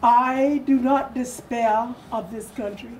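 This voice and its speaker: A woman's voice: on the high side, takes it slowly, darkish tone, slight background noise, poor audio. An older woman giving a solemn, declamatory dramatic reading of an old speech. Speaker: readers theatre performer. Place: furnished sitting room, indoors.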